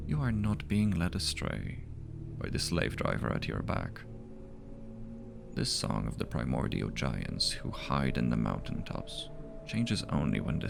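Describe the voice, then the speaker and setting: Man, very low voice, gravelly fry, measured horror audiobook narration, literary delivery, music underneath. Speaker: author reading their own story. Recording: music behind the voice. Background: music bed.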